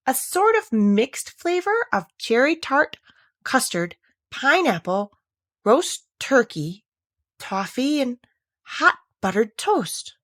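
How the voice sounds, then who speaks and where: A woman reading a children's classic aloud as a storybook narrator, with expressive, deliberate emphasis. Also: fairly high pitch, no echo, clean studio sound. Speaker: audiobook narrator.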